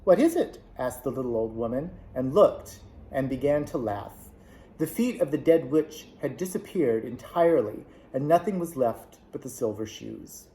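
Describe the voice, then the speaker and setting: A man reading a classic children's novel aloud, with clear, expressive storytelling. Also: mid-range voice, moderate pace. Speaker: club vice president reading aloud. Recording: echoey room.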